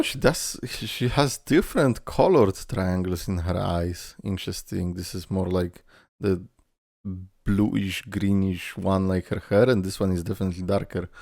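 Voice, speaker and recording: A man's voice: sits low, vocal fry, darkish tone, no echo, studio quality. A man giving casual, playful reaction commentary with swearing, his voice tired and strained from a lot of talking. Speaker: reaction video host.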